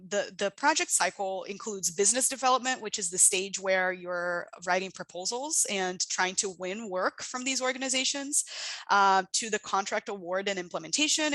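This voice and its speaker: A woman's voice: medium pitch, pushed and bright, no room sound. A woman whose first language is Portuguese, presenting her work as a researcher in a conversational, explanatory way. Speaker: international development researcher, guest speaker. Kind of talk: presentation talk with slides.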